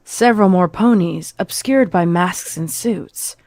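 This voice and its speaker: A woman's voice: on the low side, measured pace, a little mellow, a little hiss or hum. A woman giving a dramatic, scripted narration of a horror story.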